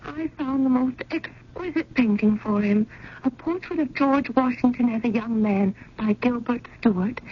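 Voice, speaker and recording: Fretful genteel woman, high-pitched, old radio. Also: unhurried, slightly rough. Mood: anxious, earnest. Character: earnest, anxious genteel woman pleading for help. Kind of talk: 1970s radio drama acting. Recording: muffled old radio, faint noise.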